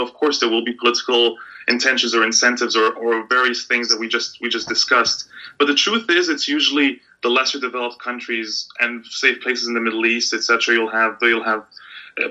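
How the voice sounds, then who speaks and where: Bright-voiced man from Egypt, lo-fi audio. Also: medium pitch. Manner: thoughtful, restarts often, emphatic at times. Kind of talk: conversational discussion. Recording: dull lo-fi sound.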